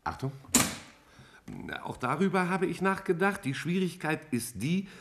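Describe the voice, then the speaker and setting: A man playing a vast alien cloud intelligence in a 1950s German radio play, speaking in a formal, measured, didactic way. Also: a little high, lively intonation, noisy background. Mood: didactic, detached.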